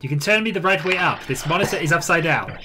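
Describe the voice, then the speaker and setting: A man's voice: fairly high pitch, expressive, fast talker, music in the background, pitched above the performer's own voice, close to an everyday voice. A man voicing an officious, accusing computer ruler with stilted, formal speech in an improvised game story. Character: officious, accusing all-powerful computer addressing citizens. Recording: hobby internet recording with clear noise.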